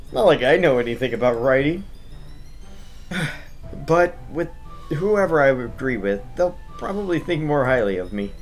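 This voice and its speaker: A man's voice: medium pitch, lively intonation, normal speed, soft background music, natural voice. A man reading a visual novel as its awkward, reluctant schoolboy narrator, telling the story and speaking his hesitant lines. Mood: awkward, pleading.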